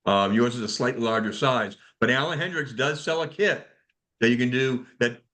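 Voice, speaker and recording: A man moderating a club technical call in a casual, halting way, often repeating words as he goes. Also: medium pitch, fairly bright tone. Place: remote conference call. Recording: conference call audio.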